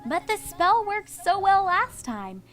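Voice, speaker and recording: Woman acting as young unicorn mare, cartoon, high pitch, studious, reasonable, music underneath. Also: big pitch swings, slow, a bit bright, exaggerated voice. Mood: persuading. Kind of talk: fan fiction voice acting.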